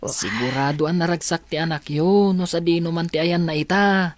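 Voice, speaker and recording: Woman acting Ariel, a low-voiced Ilocano young student. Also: normal speed, dry recording, natural voice. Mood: fond, teasing. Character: young blind student Ariel, affectionate and admiring. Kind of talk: Ilocano radio drama acting.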